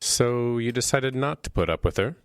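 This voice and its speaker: An actor in an audio drama playing a calm listener who puts short, measured, probing questions. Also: male voice, mid-range voice, clean tone, no room sound, natural voice. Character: calm, probing male listener drawing out a confession.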